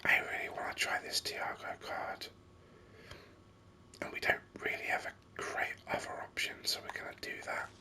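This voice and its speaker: A man whispering breathy, unhurried ASMR commentary over a video game, musing aloud close to the microphone. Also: pushed and bright.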